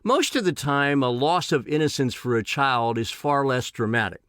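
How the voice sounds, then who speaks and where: A man's voice: medium pitch, expressive, normal speed, a bit bright, clear, resonant tone, no room sound, clean studio sound. A man reading his own personal essay in an earnest, emphatic way.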